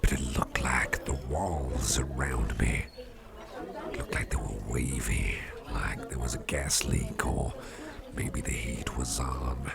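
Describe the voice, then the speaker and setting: A man playing a friendly, affectionate office boss in an ASMR roleplay, murmuring in a breathy half-whisper and rambling gently as he repeats himself. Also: very deep voice, takes it slowly, over a noisy crowd, heavily acted. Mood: confiding, puzzled. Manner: hesitant, repetitive, gently rambling. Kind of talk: ASMR roleplay, intimate personal attention. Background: music.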